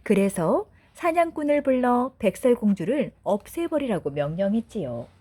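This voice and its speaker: A woman narrating a bedtime fairy tale for children in a gentle, deliberate, expressive way. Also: a little high. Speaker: storyteller mother.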